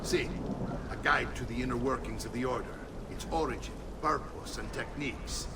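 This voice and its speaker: An actor playing a commanding middle-aged Italian uncle and assassin mentor in a video game, authoritative, theatrical and Italian-accented. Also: male voice, medium pitch, normal speed, recorded from a distance, noisy background, lightly acted. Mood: grave, secretive. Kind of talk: dramatic video game acting.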